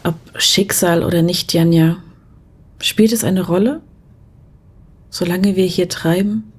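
A woman acting a tender, thoughtful character in an intimate audio spy drama, speaking softly and gently probing. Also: on the low side, fairly even intonation, slow, husky. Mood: pensive.

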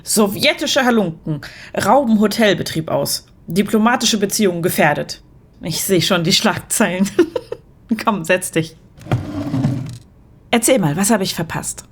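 Woman playing husky, wry musician. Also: mid-range voice, lively intonation, a little slow, pauses often. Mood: teasing, affectionate. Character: wry, affectionate Soviet woman musician, politely evasive. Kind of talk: naturalistic audio drama acting.

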